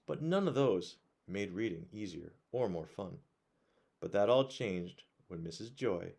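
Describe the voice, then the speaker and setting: A man reading a storybook aloud to children in an expressive way, pausing to put questions to his young listeners. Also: on the low side, gravelly, breathy edge. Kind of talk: read-aloud storytelling to children, with conversational asides.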